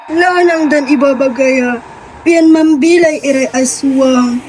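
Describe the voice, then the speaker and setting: A woman playing an elderly village storyteller with a Pangasinan accent in a student radio drama, slow and deliberate. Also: high voice. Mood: grave, foreboding. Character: elderly village woman recounting a tragic, frightening tale. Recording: loud music bed under voice.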